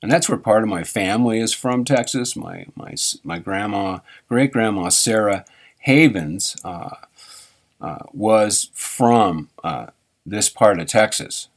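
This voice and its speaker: A man with a fairly low voice hosts a live radio show and chats off the cuff. He stops and restarts, fixes his own slips, and breaks into small chuckles as he goes. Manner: halting, self-correcting, chuckles often. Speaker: radio host. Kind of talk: rambling live radio chat.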